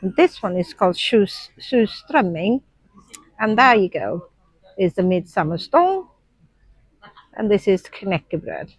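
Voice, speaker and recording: A woman's voice: mid-range voice, sing-song, a little slow, pauses often, husky, dry recording, low-quality recording. A woman in her thirties from Sri Lanka, a nursery teacher, vlogging casually from an airport and explaining things with frequent ums. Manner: chatty, explanatory, frequent um fillers. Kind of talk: casual vlog narration to camera. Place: busy airport shops and seating area.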